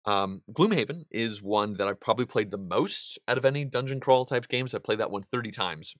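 Man in his thirties, casual Q&A host, fairly low voice, dry room, relaxed, rambling. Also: fairly quick, no echo. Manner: relaxed and chatty, many ums and restarts, gestures with hands. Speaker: board game channel host. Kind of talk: casual Q&A answering viewer questions. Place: indoor studio room with a wall of game pieces.

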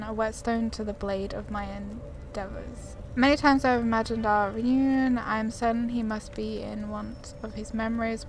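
High-voiced woman casually playing a game. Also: a little hiss or hum. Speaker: gamer playing and commenting. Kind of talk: casual gameplay chat with read-aloud game text.

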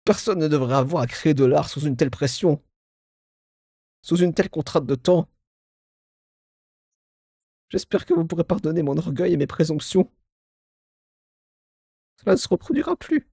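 A man's voice: a little high, lively intonation, normal speed, gravelly, long pauses, mellow, breathy edge, no room sound, studio quality, voice pushed up, heavily acted. A man voicing an ashamed, earnest fan confessing apologetically in a game fan dub, increasingly strained. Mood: ashamed, anguished. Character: ashamed, anguished fan reading out a confession letter.

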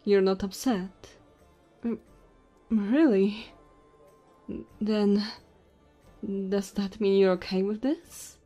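A woman voicing a tipsy, flirty goblin innkeeper in an intimate ASMR roleplay, soft and teasing with hesitant pauses. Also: mid-range voice. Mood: surprised, coy.

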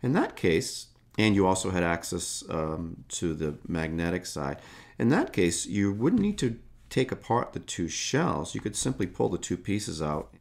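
A man narrating a hands-on repair tutorial in a casual, unscripted way, explaining step by step in a halting manner. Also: low-pitched, big pitch swings, creaky. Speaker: tutorial host.

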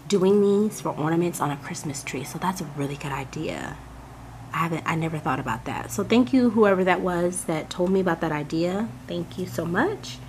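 Vlogger, woman, lower register, a bit raspy from a cold, chatty and effusive; faint background hiss. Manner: chatty, gushing, addresses viewers as "you guys". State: hoarse from a cold. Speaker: crafting channel host. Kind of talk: casual unboxing vlog.

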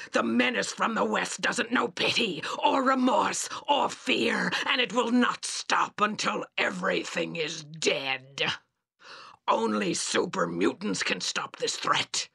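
An actor voicing a grim, defiant super mutant zealot for a video game, rasping and preaching with emphasis. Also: medium pitch, bright, forceful tone, full character voice. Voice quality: gravelly, rasping. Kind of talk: video game character acting.

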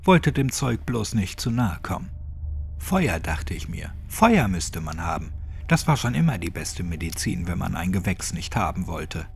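A man's voice: low voice, very animated, a little slow, creaky, a little hiss or hum, full character voice. A man voicing an elderly, quirky retired gardener in a horror audiobook, chatty, colloquial and grimly cheerful. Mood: wry, rambling. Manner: folksy, chatty, colloquial rambling.

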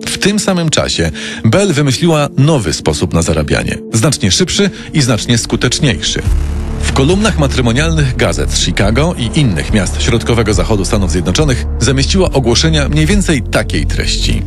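Lively male true-crime narrator over music. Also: mid-range voice, normal speed.

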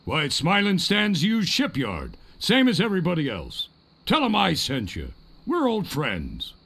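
A man's voice: on the high side, huge pitch swings, unhurried, creaky, fairly bright tone, exaggerated voice. A man playing a chatty, evasive old pirate who fusses and gives advice in a video game. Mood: helpful. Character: genial, cowardly old pirate giving advice. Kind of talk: video game character acting.

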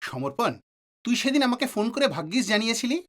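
A man's voice: very high voice, very animated, quick pace, fairly bright tone, full character voice. An actor playing a reassuring middle-aged male doctor and family friend in an audio drama, explaining with authority and some English words. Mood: grave, explanatory.

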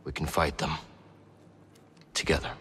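An actor playing a weary, earnest surviving Jedi hero in a video game, speaking plainly and sincerely. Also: male voice, unhurried, a bit bright, slight background noise, close to an everyday voice. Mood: earnest, grave. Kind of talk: understated cinematic game acting.

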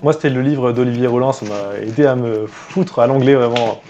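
A man's voice: medium pitch, fairly quick, dark tone, dull audio, slight background noise. A man in his twenties hosting a casual, informal interview. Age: 20s. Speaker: interviewer, channel host. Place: small indoor room with wooden ceiling.

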